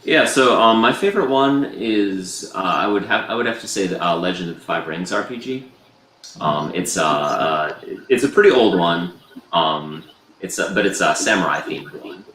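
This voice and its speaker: Deep-voiced man, rambling and relaxed. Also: moderate pace, reverberant, slight background noise. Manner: relaxed, rambling with many fillers. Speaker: guest presenter. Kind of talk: casual video call chat.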